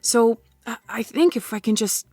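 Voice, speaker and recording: A woman's voice: on the high side, expressive, moderate pace, darkish tone, no echo. A woman voicing an irritated, no-nonsense female bookstore supervisor in a visual novel, firm and exasperated. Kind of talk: visual novel character reading.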